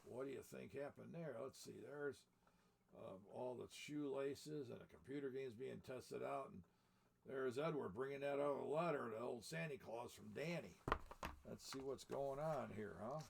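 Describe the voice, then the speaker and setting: A man's voice: mid-range voice, normal speed, creaky, a little hiss or hum. An older man in the role of Santa Claus reads a picture book aloud, adding folksy, chatty asides as he goes. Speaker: storyteller in the persona of Santa Claus.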